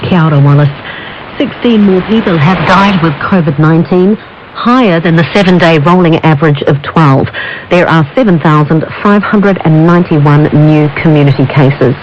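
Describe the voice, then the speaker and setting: A man's voice: on the high side, normal speed. A man reading scripted radio news headlines. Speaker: newsreader. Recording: muffled, noisy shortwave radio broadcast. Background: shortwave static and noise.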